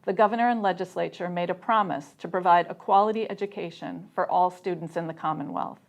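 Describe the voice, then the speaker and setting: A woman's voice: lowish voice, steady tone, fairly quick, vocal fry, dull audio. A woman delivers a prepared, formal statement as a debate speaker.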